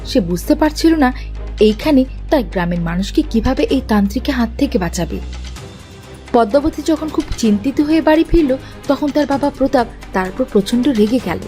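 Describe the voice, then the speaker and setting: A woman's voice: sits high, expressive, fairly quick, mellow, soft background music. A woman narrating a dramatic fairy tale for a cartoon in a scripted storytelling style.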